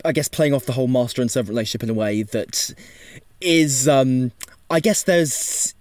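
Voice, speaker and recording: A man's voice: mid-range voice, normal speed, a little mellow, dry recording. A man chatting as a podcast panellist, rambling and often hesitating and correcting himself. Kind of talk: casual discussion podcast talk.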